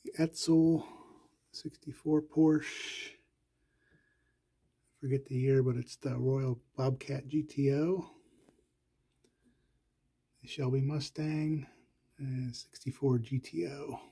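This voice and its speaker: Slow, gravelly man narrating a tour. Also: fairly even intonation, mellow. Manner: slow, low-key, listing items with pauses and fillers. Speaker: collector giving a tour. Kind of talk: casual walkthrough narration.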